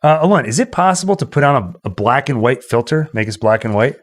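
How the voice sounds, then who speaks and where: A man's voice: medium pitch, lively intonation, quick pace. A man hosting a livestream in casual, chatty style. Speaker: host.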